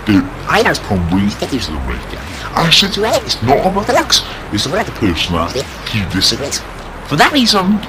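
A man's voice: mid-range voice, noisy background. A man, talking casually to camera as he sets up a prank. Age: 20s. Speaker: prankster vlogger. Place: indoor room in a house.

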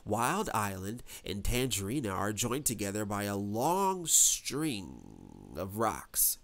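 A man voicing an old alley cat, slow and halting. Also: lowish voice, vocal fry, heavily acted. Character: old female alley cat, polite worn-out former traveler.

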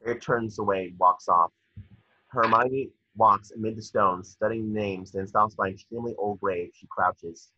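A man narrating scripted stage directions at a table read, measured with small stumbles, over a video call. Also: lowish voice, fairly even intonation, sharp tone, slight background noise. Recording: dull lo-fi video call audio.

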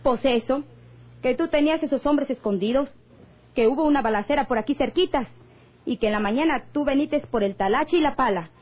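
An actress playing a distrustful, scolding ranch woman with a rural Mexican accent and a husky voice in a radio drama. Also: sits high, a little fast. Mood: worried, insistent. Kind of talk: old-time radio drama acting. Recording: muffled old radio recording.

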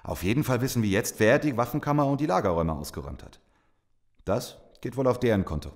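An actor playing a grim, disillusioned soldier and survivor in an audio drama, brooding and serious. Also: male voice, medium pitch, expressive, fast talker, no echo, studio quality, lightly acted. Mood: matter-of-fact. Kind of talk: audio drama narration and dialogue.

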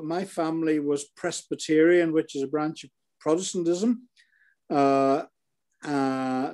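Older Northern Irish man, mellow voice. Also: a little high. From Northern Ireland. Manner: hesitant, many fillers and restarts. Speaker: retired paediatrician. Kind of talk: interview over video call. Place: living room in an armchair. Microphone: laptop webcam video call.

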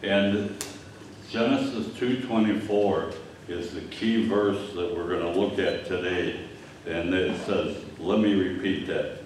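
An older man with a Michigan accent delivers a Sunday sermon. He speaks slowly and haltingly in a low voice, with frequent fillers. He is picked up by a distant microphone, so his voice rings through a very echoey church hall. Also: darkish tone, a little hiss or hum. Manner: halting, repeats words, many fillers. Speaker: pastor. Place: church hall with stage and screen. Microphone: lectern mic from a distance.

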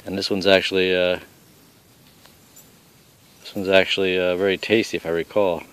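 Middle-aged man, low voice, blunt and salty running commentary on a woodland walk, handheld camera audio. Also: little pitch movement, normal speed, fairly bright tone, smooth. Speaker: father showing mushrooms on a hike. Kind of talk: casual outdoor commentary. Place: forest trail outdoors.

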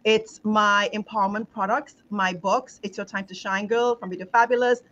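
A woman's voice: mid-range voice, steady tone, normal speed, fairly bright tone, a little hiss or hum. A middle-aged woman from Trinidad with a Trinidadian accent, a leadership coach talking reflectively on a video call, restarting as she finds her words. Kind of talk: casual podcast conversation. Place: home office with pictures on wall. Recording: slightly dull video call audio.

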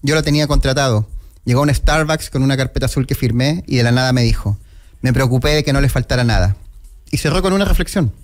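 Middle-aged man, Chilean accent, radio host, solemn tribute, measured and respectful, close studio mic, music behind. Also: mid-range voice. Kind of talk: solemn tribute monologue, partly read aloud. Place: small indoor radio studio. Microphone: close broadcast microphone with headphones. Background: background music.

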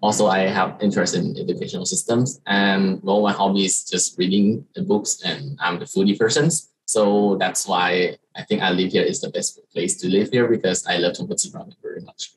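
A young man from Thailand, a Thai native speaker, giving a slide presentation as an international student on a video call, halting with many fillers and restarts. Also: sits low, steady tone, breathy edge, poor audio. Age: young adult. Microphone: video call webcam.

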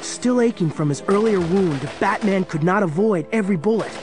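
An actor playing the dramatic storyteller of a superhero adventure, reading scripted narration. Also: male voice, high voice, normal speed, over music, lightly acted.

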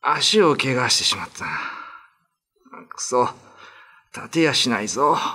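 A man's voice: medium pitch, very animated, unhurried, sharp tone, breathy edge, full character voice. A man playing a pious young male farmer in a radio drama, speaking politely and with heartfelt drama. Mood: pained, desperate.

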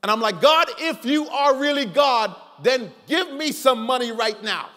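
A middle-aged man preaching a sermon as a pastor, telling personal stories with animated swings between loud pleading and quiet reflection. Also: very high voice, measured pace. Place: large church sanctuary, preaching from the stage. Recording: long hall reverb with faint music.

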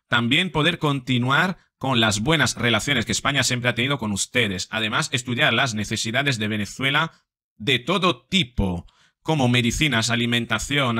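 A man in his forties talks close to the camera in a small room, reading out letters and headlines and breaking in with sarcastic remarks. His voice is very bright and sharp, with an emphatic, pointed delivery. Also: mid-range voice. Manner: emphatic, sarcastic asides between read quotes. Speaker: commentator. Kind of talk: political commentary reading documents.

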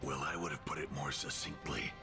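An actor playing a gruff, self-important masked hero in an animated game, speaking in a deadpan, gravelly half-whisper. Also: male voice, a bass voice, fairly quick. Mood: smug, deadpan. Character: gruff, brooding, vain male masked vigilante hero. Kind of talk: animated game cutscene acting. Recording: loud music behind the voice.

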